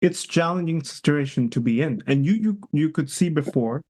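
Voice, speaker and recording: A man's voice: a little high, lively intonation, normal speed, darkish tone. A man hosting a podcast interview, asking rambling questions that stop and restart. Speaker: podcast host. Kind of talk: casual interview.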